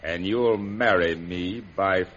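An actor playing a menacing, imperious villain in a 1930s-style radio serial, on a muffled old recording. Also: male voice, sits low, slow pace, fairly bright tone, a little hiss or hum. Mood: threatening. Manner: imperious, threatening. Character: menacing, domineering villain set on ruling China.

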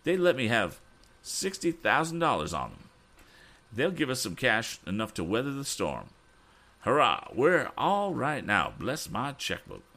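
A man voicing an excitable, exuberant older gentleman in a storybook, with breathless, exclamatory delivery. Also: medium pitch, unhurried, pitched above the performer's own voice, exaggerated voice. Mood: excited, triumphant. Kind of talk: audiobook character voice.

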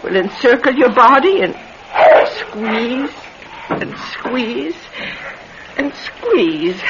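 Little old retired circus lady, folksy n sly, slow talker, radio play w dull muffled sound. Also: high-pitched, exaggerated voice. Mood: sly, menacing. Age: older. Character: slight old retired circus woman, meek yet sly. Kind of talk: radio drama acting. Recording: noisy, dull old radio drama recording.

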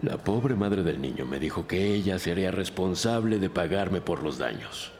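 A man playing a severe, pious reverend with a solemn, measured delivery, in an audio drama. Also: deep voice, lively intonation, darkish tone, a little hiss or hum. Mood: stern, reserved. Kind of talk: Spanish-language audio drama acting. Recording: long hall reverb, faint music.

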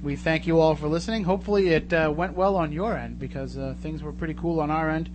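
A man's voice: on the high side, steady tone, fast. An American man hosting a live talk radio show in a casual, chatty, informal way. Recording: noisy radio show audio with hum.

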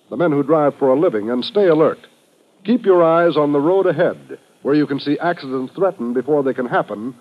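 A man with an American accent reading a scripted announcement as a 1950s radio announcer. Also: on the high side. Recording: muffled old radio recording.

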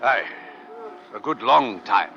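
An actor playing a hearty, assured nineteenth-century sea captain in old-time radio drama style. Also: male voice, medium pitch, lively intonation, slow pace, vocal fry. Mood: commanding. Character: hearty, assured 1850s sea captain of an Arctic rescue ship. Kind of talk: 1940s-50s radio drama acting. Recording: muffled old radio recording, faint noise.

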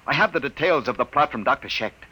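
An urgent, indignant doctor in an old radio drama. He talks fast and insistently in a bright, slightly husky voice that rises and falls. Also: medium pitch, expressive, rough recording. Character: determined galactic-citizen doctor under arrest, adult man. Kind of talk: 1950s radio drama acting.

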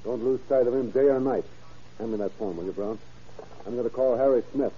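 A tough police captain played by a male radio actor speaks in a husky, mid-pitched voice on a muffled old recording. He is brusque and slangy, always in command, with a wisecracking edge. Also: brisk, natural voice. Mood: stern, pressing. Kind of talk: 1930s radio crime drama acting.

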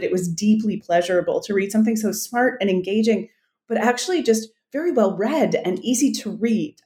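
Woman, podcast host, enthusiastic, effusive, mid-pitched. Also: a little mellow. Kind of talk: interview podcast.

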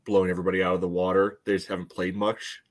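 Male sports podcast co-host, lower register, conversational and halting, padding his analysis with filler phrases. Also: flat delivery. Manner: hesitant, filler-heavy phrasing with frequent "you know". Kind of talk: casual soccer analysis chat.

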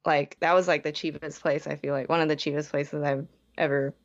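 A woman's voice: low-pitched, flat delivery, fairly quick, dull audio. A young woman from the Bay Area, a podcast guest chatting casually and saying "like" a lot. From San Francisco Bay Area. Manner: chatty, heavy use of "like", frequent self-restarts. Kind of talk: casual interview.

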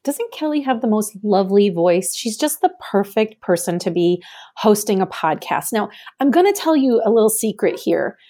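Woman, raspy voice, podcast host and coach; candid, encouraging delivery. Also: medium pitch, animated, normal speed, warm tone. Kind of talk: solo podcast outro.

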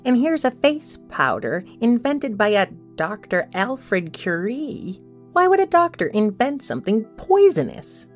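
A woman's voice: on the high side, big pitch swings, a little slow, faint music behind. A woman voicing an earnest, determined young girl detective in a children's audio drama, with an emphatic, bossy way of talking. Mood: curious, alert.

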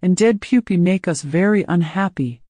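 A woman puts on a massive male alien slave keeper for an audiobook, measured and mockingly pleasant with menace underneath. The voice is close and dry, with no room around it. His words come slow and deliberate, savouring his cruelty. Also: fairly low pitch, mellow, no echo, natural voice. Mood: menacing. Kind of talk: audiobook character voice.

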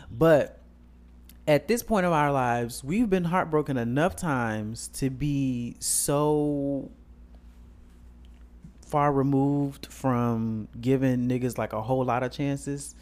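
A man's voice: medium pitch, slow pace, a little mellow. A man, talking bluntly in casual chat, swearing and laughing. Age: 20s.

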